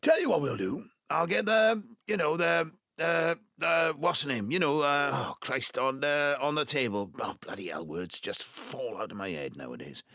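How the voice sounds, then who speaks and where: A man's voice: a little high, lively intonation, normal speed, vocal fry, few pauses, pushed and bright, no room sound, clean studio sound, exaggerated voice. An actor playing a folksy, jovial Welsh widower in his seventies from the Valleys, rambling and chummy, in an audio drama. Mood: jovial, flustered. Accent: South Wales Valleys Welsh. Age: 70s. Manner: rambling, chummy, groping for words. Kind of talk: naturalistic audio drama acting.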